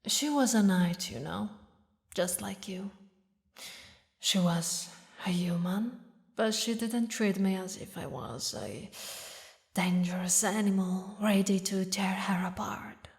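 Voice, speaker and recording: A woman voicing a haughty, mocking demon queen who mourns a lost lover, speaking in slow, theatrical, regal phrases for an audio roleplay. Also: mid-range voice, gravelly. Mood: grieving, bitter. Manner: slow, taunting, regal, with dramatic pauses. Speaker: villainous ruler addressing a knight.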